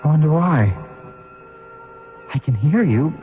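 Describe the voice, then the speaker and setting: A lost, bewildered man with no memory, groping for words in halting repetitions. It is radio drama in the old style, on a dull recording with music behind. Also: on the high side, expressive, long reverb tail. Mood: dazed wonder. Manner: halting, searching, repeats words. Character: bewildered amnesiac man adrift near death.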